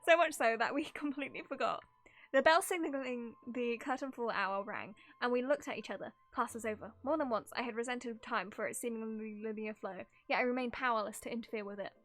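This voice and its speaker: Girl streamer reading game story out loud, highish bright voice, giggly n casual. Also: a little fast. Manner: giggly, breaks off into amused asides. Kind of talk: reading game text aloud dramatically with casual live reactions.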